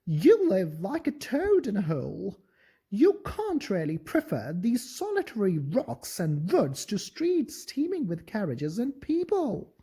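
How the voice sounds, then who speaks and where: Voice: medium pitch, dark tone, breathy edge, pitched above the performer's own voice, full character voice. A storyteller voicing an elegant, condescending town mouse with a polished, urging manner in a storybook fable.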